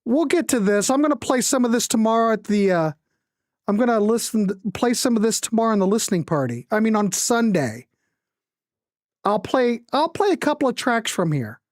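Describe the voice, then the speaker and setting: A woman hosts a casual livestream about records, her voice swinging widely in pitch. She rambles and jokes informally with her viewers, and the sound is dry and close, with little room around it. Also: big pitch swings, normal speed, a little mellow, no room sound. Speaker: music show host.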